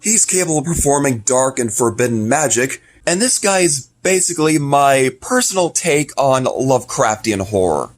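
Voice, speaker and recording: A man's voice: medium pitch, big pitch swings, normal speed, hardly any pauses. A man speaking casually to viewers as an amateur creator making an announcement. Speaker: amateur video creator and voice actor. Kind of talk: casual scripted-feeling vlog announcement.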